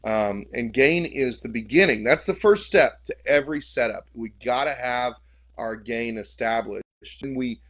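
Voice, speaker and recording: A man's voice: mid-range voice, moderate pace, fairly bright tone. A man with a big voice co-hosting a casual podcast chat about church media, explaining technical points straight to listeners. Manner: instructive, addresses listeners directly.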